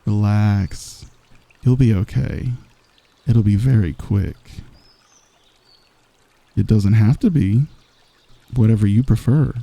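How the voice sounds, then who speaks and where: The cocky villain of a late-night romance audio roleplay, cornering an enemy to tease softly in a very dark, creaky voice right by the ear a man acting it out. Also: fairly low pitch, takes it slowly, pauses often, dry recording. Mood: reassuring, confident. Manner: soft, intimate, teasing, cocky. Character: smug, teasing, flirtatious male villain wooing an enemy. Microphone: close microphone.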